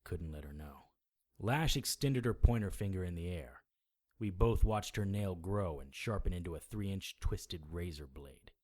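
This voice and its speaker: An actor playing a gritty, wounded supernatural investigator in an audio drama, narrating in a wry, hard-boiled, dramatic way. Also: male voice, low voice, lively intonation, a little slow, a little mellow. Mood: tense, weary. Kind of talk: first-person noir audio drama narration.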